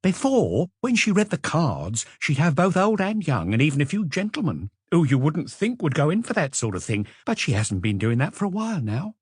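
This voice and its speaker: Guy doing an old lady in her sixties, pitched way up, indignant and stubborn, audiobook character. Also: very animated, normal speed, hardly any pauses, breathy edge, no echo, studio quality. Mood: stubborn, evasive. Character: defensive old woman, retired fortune teller in her sixties.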